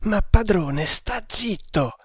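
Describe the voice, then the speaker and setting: A man's voice: medium pitch, steady tone, very slow, vocal fry, no room sound, clean studio sound, exaggerated voice. A man voicing a frightened male servant, pleading and stammering, in an adventure audiobook. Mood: anxious pleading. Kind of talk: dramatic adventure audiobook reading.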